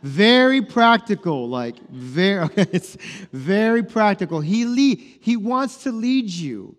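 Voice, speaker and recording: A man in his forties preaches in a church hall, telling a personal story casually and acting out each line of dialogue. His voice sits a little high and is warm-toned. A long reverb trails after his words. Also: sing-song, measured pace. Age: 40s. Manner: conversational storytelling, acts out dialogue. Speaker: pastor. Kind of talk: sermon.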